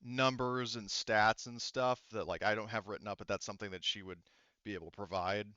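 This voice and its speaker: A man improvising tabletop game narration as game master, often halting and rephrasing mid-sentence. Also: on the low side, steady tone, sharp tone, clear, resonant tone, dry recording, highs cut off.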